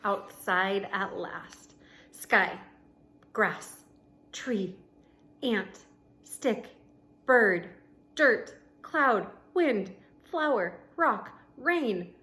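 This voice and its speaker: A woman reading a children's book aloud like a teacher to pupils, expressively, with deliberate pauses between short phrases and casual explanations. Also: mid-range voice, lively intonation, pushed and bright. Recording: noticeable background noise.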